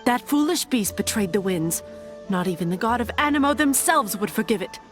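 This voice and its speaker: A woman's voice: fairly high pitch, lively intonation, fairly quick, natural voice. A woman playing a courteous but firm young nun in a fantasy video game, formal and pious in manner. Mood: stern. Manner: formal, pious, curtly polite. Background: background music.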